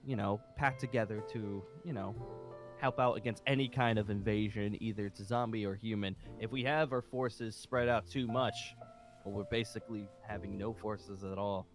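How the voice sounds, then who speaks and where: A man's voice: lowish voice, fairly even intonation, moderate pace, lightly acted. A man playing an exasperated, sarcastic military colonel in an improvised video game roleplay, repeating himself as he goes. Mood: weary, probing. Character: exasperated, sarcastic colonel running a zombie survivor safe haven. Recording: music behind the voice.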